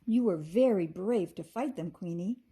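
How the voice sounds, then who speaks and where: A woman voicing an excitable, affectionate little fairy girl in a storybook, exclaiming expressively. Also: on the low side, moderate pace, husky. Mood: warm, eager.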